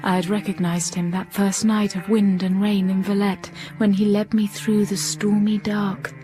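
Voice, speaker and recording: An actress playing a reflective, melancholy heroine who narrates her past in a literary audio drama, measured and confiding. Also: mid-range voice, little pitch movement, dark tone, faint music behind, natural voice. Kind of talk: literary first-person narration.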